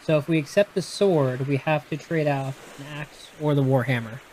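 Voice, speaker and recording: A man's voice: a little high, steady tone, normal speed, darkish tone, slight background noise, low-quality recording. A man with a Texan accent, reading a gamebook aloud and casually chatting through its rules with a live audience, often restarting his sentences. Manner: casual and explanatory, with frequent restarts and self-corrections.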